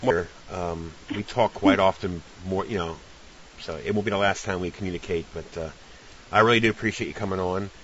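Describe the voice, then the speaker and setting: Deep-voiced man with dull, hissy sound. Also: steady tone, brisk, fairly bright tone. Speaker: podcast host, interviewer. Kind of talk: casual interview chat. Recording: dull lo-fi audio with clear hiss.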